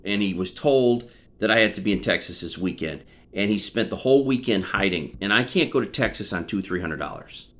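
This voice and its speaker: A middle-aged man in a personal phone conversation, insistent and argumentative. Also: on the low side. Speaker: son on a phone call with his mother.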